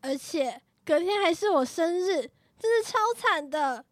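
The grown-up older sister who sounds embarrassed as she looks back. Her voice is very high and recorded dry and close. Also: expressive, unhurried, dry recording. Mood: nostalgic. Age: teen. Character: teenage grown-up sister, reminiscing. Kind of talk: children's radio drama.

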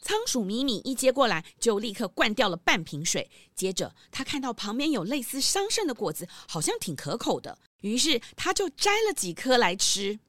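A woman narrator reading a scripted children's story in an expressive storytelling manner. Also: on the high side, normal speed, few pauses, no echo, clean studio sound.

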